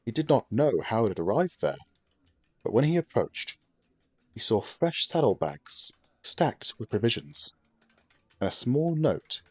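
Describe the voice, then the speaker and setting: A man giving scripted fantasy story narration as an audiobook narrator, with a steady, even storytelling delivery. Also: fairly low pitch, slightly rough, no echo.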